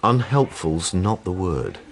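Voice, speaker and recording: A man's voice: deep voice, very animated, slow, creaky, a little hiss or hum, heavily acted. A British man playing a weary, disillusioned police detective in a radio drama, grumbling in plain-spoken style. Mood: resigned, appeasing. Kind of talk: naturalistic radio drama acting.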